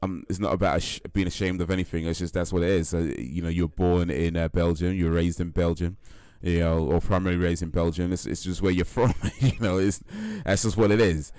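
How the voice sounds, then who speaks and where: Low-voiced guy chatting on his stream, laughs a lot, lots of ums, dead dry sound. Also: steady tone, fairly quick, few pauses, a little mellow, clear, resonant tone, no room sound. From United Kingdom. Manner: laid-back, laughing, lots of fillers and repeats. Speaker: livestream host. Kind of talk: casual chat with stream viewers.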